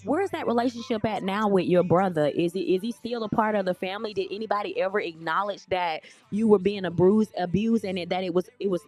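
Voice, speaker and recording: A woman's voice: mid-range voice, a little fast, hardly any pauses, soft background music. A woman hosting an interview, asking questions in a supportive, casual way. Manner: warm, supportive, casual slang. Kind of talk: empathetic interview.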